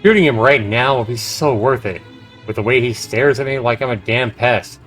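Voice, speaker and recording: A man's voice: mid-range voice, moderate pace, a bit bright. A man livestreaming a game, reading its narration aloud dramatically with casual, profane asides. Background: faint game music.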